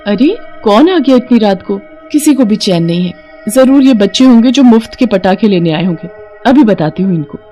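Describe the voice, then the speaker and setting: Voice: medium pitch, animated, moderate pace, mellow, faint music behind, natural voice. A narrator voicing a weary village woman who lives alone, grumbling in a Hindi cartoon story. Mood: irritated.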